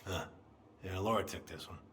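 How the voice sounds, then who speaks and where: An actor playing a self-deprecating, grieving middle-aged American man in a video game drama, halting and folksy in manner. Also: sits low, a bit bright, reverberant, slight background noise. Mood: wistful reminiscing. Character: self-deprecating, grieving older man, old family friend.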